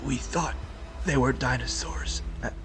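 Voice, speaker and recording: An actor playing a dying mercenary in an audio drama, weak and shaken, gasping with failing breath. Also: male voice, medium pitch, unhurried, creaky. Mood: weak, pained. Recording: music under the voice.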